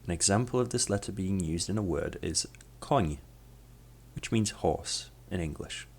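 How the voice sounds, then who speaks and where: Deep, gravelly young man teaching. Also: expressive, darkish tone. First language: Polish. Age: young adult. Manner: measured, explanatory, demonstrates sounds. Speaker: student presenter. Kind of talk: scripted language lesson.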